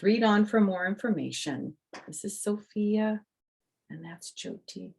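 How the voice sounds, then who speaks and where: A woman's voice: mid-range voice, a little mellow, husky. An older woman reading a children's picture book aloud, speaking to young listeners and adding chatty personal asides.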